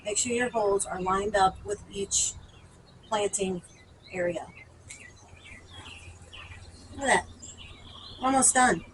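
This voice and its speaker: A woman's voice: mid-range voice, slow pace, pauses often, fairly bright tone, a little hiss or hum, low-quality recording. A woman talking informally to viewers as a gardening vlogger, thinking aloud through a hands-on demonstration.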